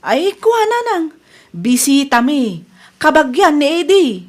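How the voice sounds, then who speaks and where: A woman acts a married Ilocano daughter in a radio comedy drama. Her voice is high, and her manner is reassuring while dodging questions. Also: very animated, unhurried. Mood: evasive, reassuring. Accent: Ilocano Filipino.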